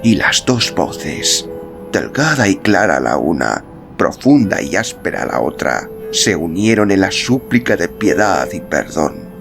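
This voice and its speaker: A man narrating a literary story as an audiobook, in a measured, dramatic, expressive storytelling manner. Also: deep voice, gravelly. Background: music.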